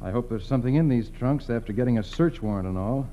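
A man's voice: mid-range voice, expressive, quick pace, close to an everyday voice. An American man playing a methodical, no-nonsense federal agent in 1940s radio style, speaking tersely. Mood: intent. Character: methodical, no-nonsense male FBI special agent. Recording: dull, faintly noisy old radio recording.

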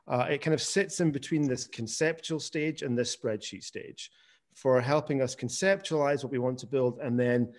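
A man's voice: medium pitch, darkish tone. A man giving an informal webinar presentation over a video call, with frequent restarts and repeated words. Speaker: guest presenter, software founder.

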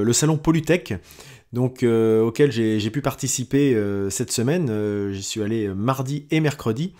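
A man's voice: medium pitch, darkish tone. A man, talking through his weekly audio diary with many hesitations and restarts. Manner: halting, many fillers and restarts. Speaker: podcast host.

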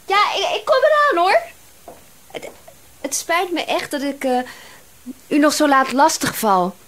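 Radio drama role: female private detective, about thirty, chatty, easily flustered, very high voice, lively swings. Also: a little slow, a little hiss or hum, exaggerated voice. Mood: breathless, friendly. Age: young adult. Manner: chatty, apologetic, exclaiming. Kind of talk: Dutch radio drama acting.

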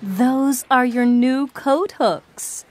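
A woman voicing a friendly young teacher who speaks patiently in an animated children's story. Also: high-pitched, very slow.

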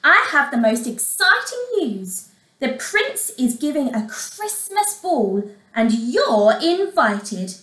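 Snooty storybook stepmother, acted by a woman: theatrical, gushing, big swoops in pitch. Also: big pitch swings, unhurried, hardly any pauses, fairly bright tone, reverberant, low-quality recording. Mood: excited. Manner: haughty, effusive, theatrical. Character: snooty, spiteful stepmother, gushing over her daughters. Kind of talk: children's storybook character reading.

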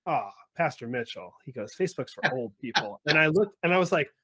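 A young man hosts a podcast, talking casually over a video call. He tells his stories with energy and leaps up in pitch when he acts out voices. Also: brisk, husky. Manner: animated storyteller, acts out voices in anecdotes. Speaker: podcast host. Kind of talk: casual interview chat. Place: home or office, video call.

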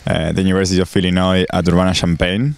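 Young man, from Argentina, deep voice, smooth tone, calm, hesitant, outdoors, handheld mic. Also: rapid-fire. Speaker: graduate student. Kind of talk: on-camera introduction. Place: outdoors by a lake.

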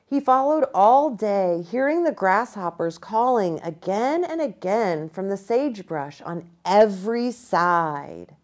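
American woman, slow animated storybook reader. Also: medium pitch. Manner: animated, deliberate, pausing within phrases. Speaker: pastor reading to children. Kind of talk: expressive children's storybook read-aloud. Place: small indoor room with bookshelf and armchair.

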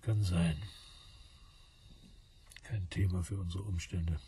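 An actor playing a tired, skeptical man in a radio play, gruff, terse and deadpan. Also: low-pitched, takes it slowly, full character voice. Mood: weary, irritated. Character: tired, skeptical male companion, gruff and laconic.

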